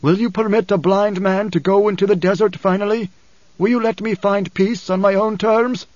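An anguished older blind father as acted by a male audiobook narrator speaks in a raised, husky, trembling voice. He is a solemn desert prophet, weary and formal. The recording is a muffled, echoey cassette. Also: sits high, steady tone, normal speed, a little mellow, voice pushed up, lightly acted. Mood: bitter pleading. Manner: solemn, dramatic, formal speech. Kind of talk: audiobook character acting. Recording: dull cassette audiobook, roomy echo.

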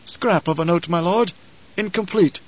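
Man acting out elderly male advisor, high pitch, grave, deferential, hesitant, audiobook drama, muffled sound. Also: normal speed. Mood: grave, careful. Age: older. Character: loyal old spymaster advisor, grave, anxious, deferential.

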